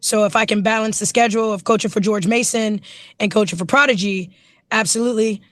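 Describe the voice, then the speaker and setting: Raspy, hoarse woman coach; dry sound, keen and chatty. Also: medium pitch, brisk, a bit bright, no echo. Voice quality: naturally raspy voice. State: hoarse from yelling at practice. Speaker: running backs coach, guest. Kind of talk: casual interview.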